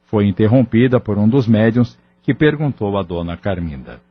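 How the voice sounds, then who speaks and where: A man's voice: fairly low pitch, expressive, moderate pace, slightly rough. A man reading scripted narration for an audio drama. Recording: dull lo-fi audio. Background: background music.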